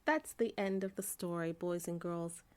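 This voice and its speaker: A woman narrating a bedtime story for children in an expressive storytelling manner. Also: on the low side, normal speed. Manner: expressive storytelling, character voices for dialogue.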